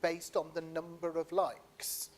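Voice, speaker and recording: A man's voice: on the high side, completely flat delivery, slow. A man speaking on a panel, explaining his case emphatically point by point. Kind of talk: panel discussion talk.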